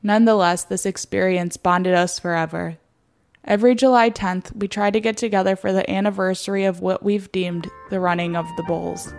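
A woman's voice: medium pitch, fairly even intonation, normal speed, vocal fry, a little mellow. A young woman reading a scripted first-person documentary narration. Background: music.

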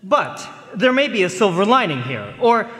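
A knowing, wry researcher in a radio play. The voice sits high and moves in lively swings, with long hall reverb. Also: male voice, normal speed. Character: male researcher, wry, knowing, self-assured. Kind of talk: live radio drama acting.

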